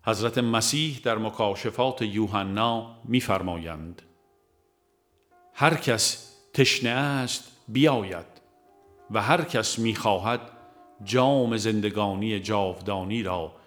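A man with a fairly low voice narrates a devotional text as an audiobook reader. His delivery is measured, solemn and formal, in a literary register. Soft instrumental music with violin plays faintly behind him.

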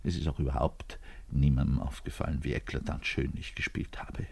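An actor playing a lovelorn, self-important, bitter double bass player in a theatrical radio play monologue, confiding in the listener and swinging between hushed and declaimed delivery. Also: male voice, flat delivery, brisk, creaky, slightly rough, heavily acted. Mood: wistful, wry.

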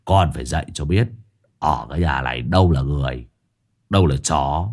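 A man's voice: very deep voice, a little fast, a little mellow, voice pushed down. A man voicing a crude, blustering male thug who swears coarsely and whines when he is scared. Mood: gruff scolding.

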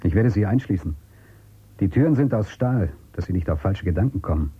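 An actor playing a stern, principled outsider in a radio drama, speaking in a guarded way. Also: male voice, deep voice, lively intonation, a little fast, dark tone, husky, full character voice. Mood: firm. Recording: dull, lo-fi radio sound.

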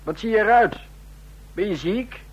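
A puzzled but indulgent boss, with a fairly high, husky voice. He sounds muffled through a videophone filter in a radio play. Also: normal speed. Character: sleepy male superior, puzzled and mildly indulgent. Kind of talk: radio drama acting.

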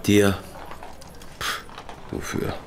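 An actor in a German radio drama playing a curt, embittered working-class gamekeeper who answers tersely. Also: male voice, drawn-out words. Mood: resigned.

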